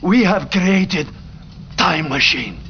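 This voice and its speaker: An actor playing an anxious defecting Soviet scientist with a Russian accent, agitated and pleading. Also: male voice, high voice, animated, slow pace, muffled recording, slight background noise. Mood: desperate insistence.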